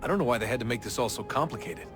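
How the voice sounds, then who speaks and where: A young American man, played by an actor, blunt and weary of being used, the reluctant hero of a video game. His voice is an everyday mid-range one, with music playing clearly behind it. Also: medium pitch, animated, rapid-fire, lightly acted. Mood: thoughtful. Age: young adult. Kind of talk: naturalistic video game acting.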